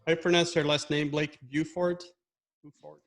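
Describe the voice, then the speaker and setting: A man's voice: fairly high pitch, little pitch movement, normal speed. A man from Brazil with a Brazilian Portuguese accent, chatting playfully on a video call about a party game. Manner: playful, joking about English spelling. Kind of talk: casual chat on a video call.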